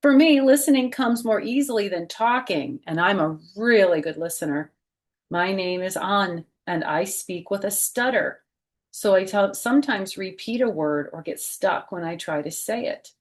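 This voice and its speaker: A middle-aged woman reading a picture book aloud for children, with gentle teacherly phrasing and chatty personal asides. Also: mid-range voice.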